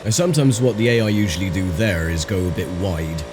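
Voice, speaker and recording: A man with a croaky voice giving casual, chatty commentary while playing a racing game, thinking aloud with fillers. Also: low-pitched, lively intonation, brisk. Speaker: gaming channel host. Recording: voice over game audio with engine noise.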